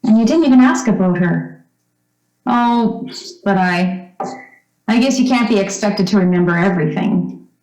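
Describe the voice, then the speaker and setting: A woman's voice: medium pitch, moderate pace, pauses often, darkish tone, lightly acted. Woman playing a fussing mother, echoey. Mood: gently probing. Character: fussing, middle-aged mother of a returned son. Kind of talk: old-time radio drama acting. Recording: roomy, echoing recording.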